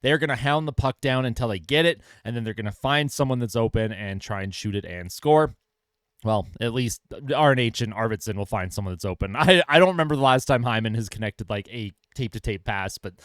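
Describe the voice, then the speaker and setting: A man's voice: mid-range voice, expressive, fairly quick, fairly bright tone, no echo, studio quality. A young man hosting a casual hockey pre-game commentary in a chatty way, with lots of filler words, while he keeps losing his voice. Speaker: hockey channel host.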